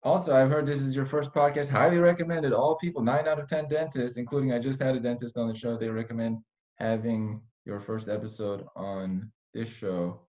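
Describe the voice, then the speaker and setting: A man's voice: medium pitch, steady tone, brisk, darkish tone. A man hosting a casual conversational podcast, rambling with playful, mock-serious asides. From Iran. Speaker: podcast host. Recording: dull, lo-fi podcast audio.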